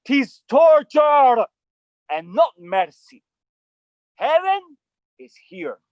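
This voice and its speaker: A man hosting an outdoor acting coaching session, animated and emphatic, with frequent restarts. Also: very high-pitched, extremely slow, no echo, dull audio.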